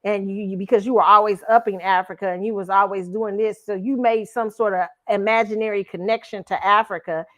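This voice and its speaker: A woman's voice: medium pitch, fairly even intonation, brisk, few pauses, muffled recording. A woman hosting her own commentary show, reacting to a clip bluntly and emphatically. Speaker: show host giving commentary.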